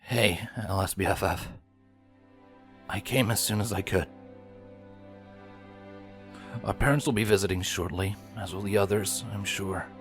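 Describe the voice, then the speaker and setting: A man voicing a gentle, protective older-brother pony, soft and earnest in his remorse. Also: low voice, steady tone, moderate pace, faint music behind, lightly acted. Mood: tender.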